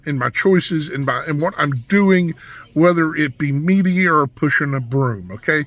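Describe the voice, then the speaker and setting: A man with a hoarse, raspy voice talks through a casual vlog. He rambles and restarts his sentences, in a bright, forceful tone pitched a little high for a man. The sound is dry, with no room echo. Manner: rambling, with frequent restarts and asides. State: hoarse from yelling. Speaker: vlogger.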